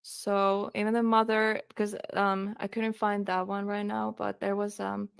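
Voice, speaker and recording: Young woman with Finnish accent, true crime streamer; slow, hesitant delivery, crisp tone, dry room. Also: medium pitch, completely flat delivery, dry recording. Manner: hesitant, many ums, reads quotes aloud. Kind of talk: casual live-stream case reading.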